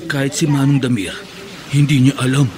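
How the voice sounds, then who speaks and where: A man's voice: mid-range voice, fairly even intonation, brisk, a crowd in the background, close to an everyday voice. An actor playing a secretive, hardened militant man who speaks insistently in a Filipino radio drama. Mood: guarded. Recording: noisy scene with rain-like ambience.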